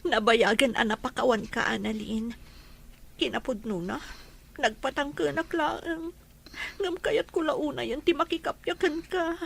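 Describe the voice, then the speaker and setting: A high-voiced young Filipina actress plays an excitable, forgiving elder sister in an audio drama. Her Ilocano accent carries through every line of dialogue. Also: a little slow, gravelly, a little hiss or hum. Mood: earnest, forgiving. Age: young adult.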